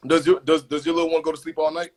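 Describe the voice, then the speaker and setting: A man's voice: on the high side, level tone, racing. A young man hosting a casual interview, chatty and laid-back, full of slang. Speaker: host.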